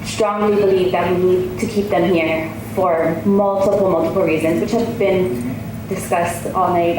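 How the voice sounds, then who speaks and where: A woman with a somewhat low voice gives a short, courteous public comment, a little hesitant and grateful in tone. She is far from the microphone in a very echoey ballroom, with noise behind her. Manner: polite, appreciative, a little hesitant. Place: hotel ballroom hearing. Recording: echoey hall picked up from far off, with background noise.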